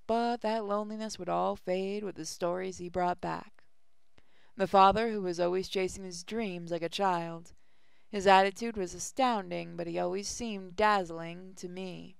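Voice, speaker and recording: A woman's voice: mid-range voice, vocal fry, clear, resonant tone, dry recording, clean studio sound. A woman hosting a gaming channel, reading visual novel text aloud in a casual way. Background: music.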